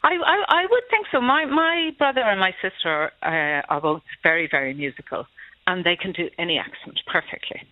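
A caller with a Dublin accent, chatting hesitantly on a radio phone-in with frequent restarts and little laughs. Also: male voice, high voice, animated, fairly quick, no echo. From Ireland. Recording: tinny phone line, dry.

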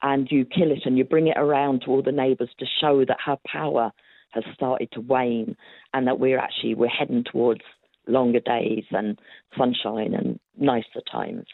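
A woman's voice: extremely low pitch, steady tone, normal speed, dry recording. Woman historian on a tinny line. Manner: chatty storyteller, laughs often, frequent fillers. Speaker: historian guest. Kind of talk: casual interview answers.

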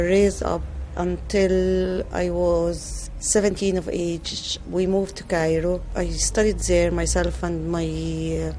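Slow-speaking Egyptian woman. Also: lowish voice, warm tone, a little hiss or hum. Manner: slow, careful, non-native phrasing. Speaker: interviewee. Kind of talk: personal recollection.

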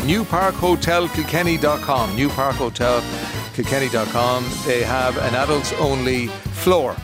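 An Irish man hosting a radio talk show, chatting casually and reading promos with a sing-song lilt. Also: a little high. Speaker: radio host.

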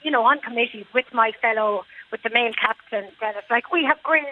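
A woman's voice: on the high side, brisk. An Irish woman who is a golf club lady captain, talking chattily and emphatically on a radio phone-in and repeating her points. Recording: dull phone-line sound.

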